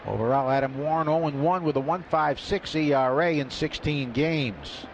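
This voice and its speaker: Brief: male play-by-play caller, slightly high voice, dull lo-fi radio sound with loud ballpark noise behind. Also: normal speed. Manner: rises sharply in pitch and volume on big plays. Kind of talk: live baseball radio commentary.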